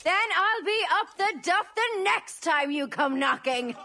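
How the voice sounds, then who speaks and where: A woman acting a brazen condemned pregnant pirate in a video game, brash, loud and taunting. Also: extremely high pitch, expressive, a little slow, gravelly, heavily acted.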